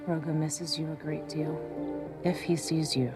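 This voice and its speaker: An actress playing a calm, wise warrior with a measured, gently formal delivery in a screen drama. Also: female voice, deep voice. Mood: calm, advising. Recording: film soundtrack with loud music behind.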